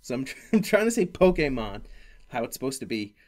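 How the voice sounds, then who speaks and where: A man hosting a casual gaming podcast, talking in a rambling, halting way that often circles back on itself. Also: medium pitch, big pitch swings, darkish tone. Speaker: podcast host.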